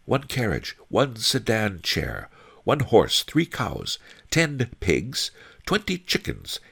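A man voicing a knowing, scornful woman, a storybook noble nurse, reciting things item by item with deliberate emphasis. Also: lowish voice, wildly swooping pitch, gravelly, fairly bright tone, slightly rough, voice pushed up, full character voice.

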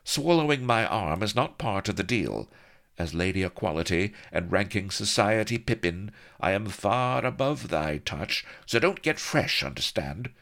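A man voicing a haughty, flustered young noblewoman in an audiobook, raising his voice high and speaking in mock-archaic phrasing. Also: expressive, unhurried, fairly bright tone. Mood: imperious, flustered. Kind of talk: audiobook character reading.

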